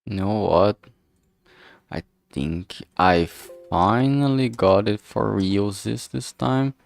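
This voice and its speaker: A streamer talking casually while working, thinking aloud and muttering in a halting way. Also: sits low, vocal fry, no room sound.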